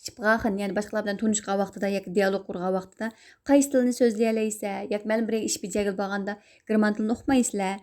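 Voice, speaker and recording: A young woman, a native Uyghur speaker, teaching German; she reads each example sentence slowly and a bit louder, then explains it in a calmer, lower voice. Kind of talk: recorded language lesson.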